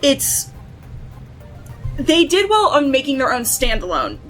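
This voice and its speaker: A woman's voice: sits high, huge pitch swings, unhurried, fairly bright tone, soft background music. A woman chatting casually as a panel guest, giving her opinions freely.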